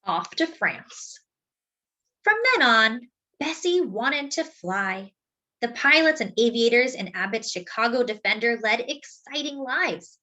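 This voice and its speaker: A woman with a fairly high voice reads a children's story aloud. Her intonation swings widely from phrase to phrase. She phrases deliberately, stretching key words for emphasis in an expressive storyteller's style. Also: rough recording.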